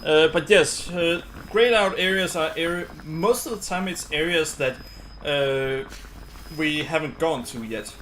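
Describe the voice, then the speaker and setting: A man giving casual game commentary as a streamer, thinking aloud in a halting way. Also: a little high, a bit bright, slight background noise, rough recording. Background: game music.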